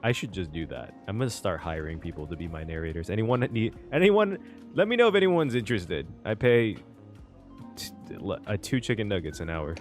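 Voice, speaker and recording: Male reaction streamer, casual and amused, expressive swings in pitch, game music faintly underneath. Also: a little fast. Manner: amused, informal musing.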